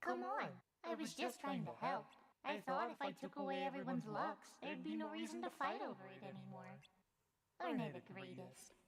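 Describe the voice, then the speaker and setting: A woman's voice: high voice, animated, moderate pace, a loud crowd. A woman voicing a playful, smugly taunting creature of darkness in a theatrical, teasing way for a game fan dub. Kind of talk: fan-dubbed video game cutscene acting. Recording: loud game music behind the voice.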